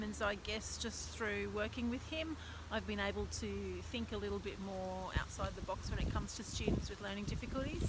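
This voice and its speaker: A cheerful Australian woman in her forties talks to camera in a relaxed, chatty way, her voice sitting a little high. Steady background noise and the hum of passing traffic run under her words. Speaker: teacher vlogger. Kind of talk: casual chat to camera.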